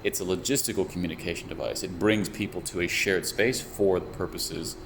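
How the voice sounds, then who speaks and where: Deep-voiced man, thoughtful. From United States. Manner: thoughtful, measured, with frequent restarts. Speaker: VR researcher and developer. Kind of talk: explanatory interview talk. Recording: steady air conditioner hum behind. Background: air conditioning hum.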